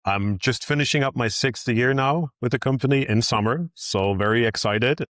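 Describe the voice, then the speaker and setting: A man's voice: mid-range voice, moderate pace, a bit bright, no room sound, studio quality. A middle-aged man from northern Germany with a German accent, answering interview questions earnestly and upbeat. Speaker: guest, managing director. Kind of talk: in-person interview.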